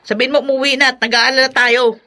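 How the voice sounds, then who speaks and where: A middle-aged mother in a radio drama. Her voice is very high and sharp. She is fretful, highly emotional and melodramatic about her family. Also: flat delivery, quick pace. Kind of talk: Filipino radio drama acting.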